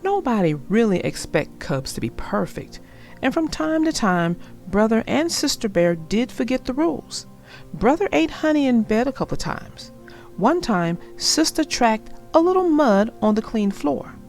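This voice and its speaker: A woman reading a children's story aloud and talking to young listeners in an expressive, deliberate, instructive way. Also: fairly high pitch, soft background music.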